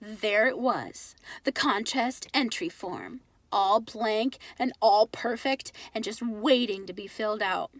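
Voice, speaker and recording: A woman reading a children's book aloud as an expressive storyteller. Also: mid-range voice, takes it slowly, few pauses, no echo.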